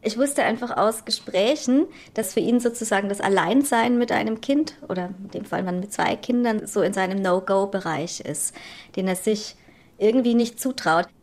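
Woman, mother, mid-pitched, thoughtful and candid interview delivery. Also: moderate pace, darkish tone. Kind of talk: documentary interview.

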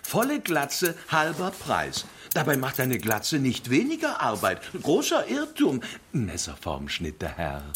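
An actor playing a fussy, anxious barber who grumbles and frets in an exaggerated way, in a children's radio play. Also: male voice, mid-range voice, wildly swooping pitch, unhurried, hardly any pauses, a bit bright, full character voice. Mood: grumbling. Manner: exaggerated, fretful, declamatory. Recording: music behind the voice.

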